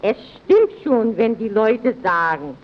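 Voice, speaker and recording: Proud housewife giving tips, highish lilting voice, muffled vintage radio commercial. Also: female voice, sing-song, slow pace, exaggerated voice. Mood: self-assured. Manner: assured, didactic. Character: proud, chatty housewife and mother praising detergent. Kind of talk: scripted vintage radio advert acting. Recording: dull early radio recording.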